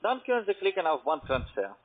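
A man with a foreign accent in German, a non-native speaker giving instructions in halting, broken sentences on a phone call. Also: high voice, huge pitch swings. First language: not German. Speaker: phone scam caller posing as payment agent. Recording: muffled narrowband phone line.

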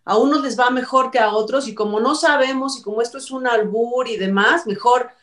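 A woman's voice: on the high side, fairly quick, a bit bright. A middle-aged woman with a Mexico City accent, hosting a casual panel chat in a chatty, rambling way. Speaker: show host. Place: home room, indoors. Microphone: video call, off the mouth. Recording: roomy, distant home video-call sound.

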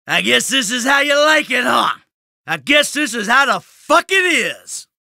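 A man's voice: very high-pitched, very animated, unhurried, creaky, sharp tone, dry recording, shouting, clean studio sound, full character voice. A man voicing a cynical, brash middle-aged American criminal in a video game, sarcastic and foul-mouthed. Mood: angry, taunting. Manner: sardonic, brash, swearing.

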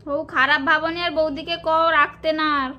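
A woman's voice: sits high, steady tone, fairly quick, lo-fi sound, a little hiss or hum. A woman from West Bengal with a rural Medinipur Bengali accent, chatting casually as a prank-call vlogger. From West Bengal, India. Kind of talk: casual chat.

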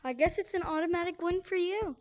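A person hosting an in-game talent show in casual chat, with the voice run through a pitch-raising voice changer, sounding like a woman. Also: a little fast, highs cut off, a little hiss or hum. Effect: high-pitched voice changer. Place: virtual reality game session. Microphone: VR headset mic.

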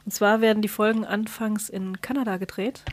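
A woman's voice: mid-range voice, little pitch movement, brisk, no room sound. A woman speaking casually as a podcast co-host, retelling things in a colloquial way. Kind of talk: casual chat.

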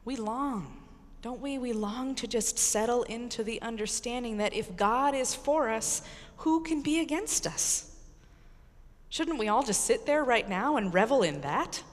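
A middle-aged American woman priest giving an earnest, emphatic sermon with deliberate pauses and repetition. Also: fairly high pitch, lively intonation. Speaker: Episcopal priest preaching. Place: large church with congregation, indoors. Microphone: pulpit microphone. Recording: echoey church hall reverb.

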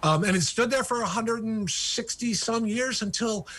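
Older male guest author, husky, eager, filler-heavy storyteller on a video call. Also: high voice, normal speed, a bit bright. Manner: enthusiastic, many ums and uhs. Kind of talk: remote interview storytelling.

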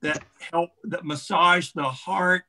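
A middle-aged man speaks earnestly on a video call in a bright, forceful voice on the higher side. He takes his time, leaning on key words as he reflects. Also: steady tone, slow. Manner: earnest, emphatic. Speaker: theatre director. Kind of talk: reflective closing remarks. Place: room indoors on a video call. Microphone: video call computer mic.

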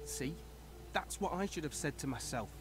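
A man's voice: on the high side, lively intonation, moderate pace, lightly acted. A man playing a chatty fish stall seller. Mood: rueful. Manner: wheedling salesman patter. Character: chatty hapless male fish stall owner. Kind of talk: video game voice acting. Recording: game audio with music behind.

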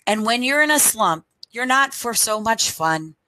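A middle-aged woman reading a picture book aloud for children at storytime, phrase by deliberate phrase, into a headset microphone. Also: fairly high pitch, animated, pushed and bright, no room sound.

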